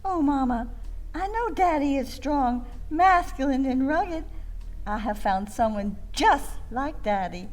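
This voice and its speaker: Brief: a woman playing a giddy lovestruck girl, high and lively, gushing. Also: slow. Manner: gushing, swooning. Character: lovestruck young Southern daughter.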